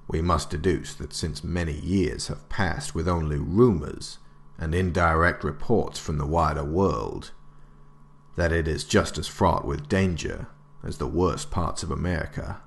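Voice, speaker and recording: A man playing a stern government director in an audio drama. His voice is extremely deep with a gravelly creak, and he delivers formal, oratorical narration with grave, emphatic authority. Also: full character voice. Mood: sober, formal. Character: stern, resolute male government director writing a survival handbook.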